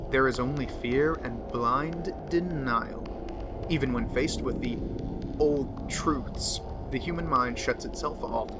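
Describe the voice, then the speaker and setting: A man voicing a pompous, unhinged occult diarist who scorns humanity, in theatrical, deliberate horror narration that lingers on words. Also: medium pitch, heavy background noise, close to an everyday voice. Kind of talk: dramatic horror story narration.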